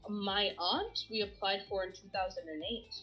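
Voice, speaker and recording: A woman from Bangladesh, a streamer guest chatting casually in an interview, animated and laughing often. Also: mid-range voice, moderate pace, faint music behind, rough recording.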